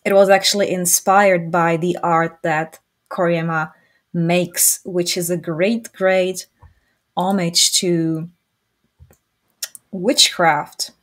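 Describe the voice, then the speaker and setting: A woman with a Czech accent hosts a casual chat over a video call, her voice sitting somewhat low. She talks slowly and jokes easily, often restarting her phrases. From Czech Republic. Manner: relaxed, joking, with quick restarts. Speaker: podcast host.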